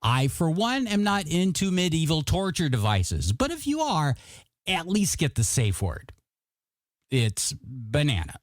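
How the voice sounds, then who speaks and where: A man's voice: a little high, wildly swooping pitch, unhurried, no echo, clean studio sound. A man working as a radio DJ on a classic hits show, with jokey, punchy announcer patter over music. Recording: radio aircheck with music underneath.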